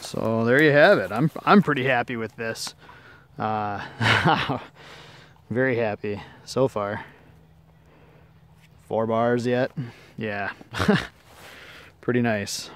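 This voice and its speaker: A man's voice: medium pitch, takes it slowly, long pauses, a bit bright. A man with a mid-range voice talks casually through a hands-on demonstration outdoors. He is plain-spoken and easygoing, feeling his way through sentences with some hesitation. The voice is picked up by a handheld camera in the open air. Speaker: amateur product demonstrator. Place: outdoors in woodland.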